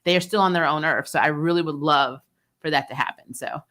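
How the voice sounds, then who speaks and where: A woman with a fairly low, bright and forceful voice hosts a casual recap. She is blunt and opinionated, retelling scenes and dialogue in chatty fashion, unafraid of mild swearing. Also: brisk. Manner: animated retelling, acting out dialogue, prone to chuckling; blunt, opinionated, mildly profane. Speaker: host of a TV recap show. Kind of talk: casual episode recap and commentary.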